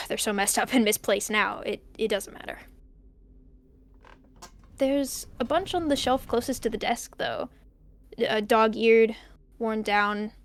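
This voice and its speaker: A woman playing an uneasy young person recording a rambling, pensive diary in an audio drama. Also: a little high. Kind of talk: audio drama monologue, found-tape diary.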